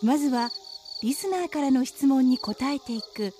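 High-pitched woman announcer. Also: expressive, moderate pace, over music. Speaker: program announcer. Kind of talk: scripted narration.

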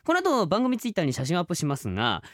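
A man in his thirties co-hosting a radio show with casual, agreeable banter and announcements in soft everyday phrasing. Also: sits high, huge pitch swings, fast talker, dry recording, clean studio sound.

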